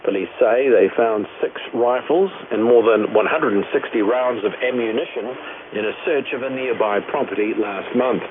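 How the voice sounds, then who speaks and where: A man's voice: medium pitch, normal speed. Male New Zealand newsreader on noisy shortwave. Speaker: radio news presenter. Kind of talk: scripted news bulletin reading. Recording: noisy narrowband shortwave radio broadcast.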